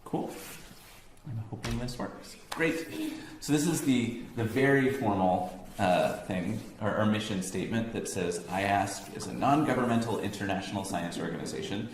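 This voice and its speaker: American man, low voice, casual presenter with lots of ums, in an echoey room with a faint hum. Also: normal speed. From United States, near Boston. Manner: relaxed, conversational, many ums and self-corrections. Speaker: science organization staff giving a talk. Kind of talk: informal presentation to an audience. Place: room with an audience.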